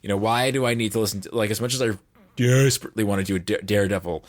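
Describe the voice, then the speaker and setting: A man speaking as an audio drama producer in a casual podcast discussion, often restarting his phrases in a halting way. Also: lowish voice, no echo.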